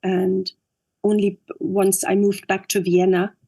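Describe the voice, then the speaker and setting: Austrian-accented woman, halting and thoughtful. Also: mid-range voice, monotone, vocal fry, dark tone. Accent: Austrian German. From Upper Austria. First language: German. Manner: thoughtful, halting with frequent fillers. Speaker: guest, actress and director. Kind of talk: interview conversation.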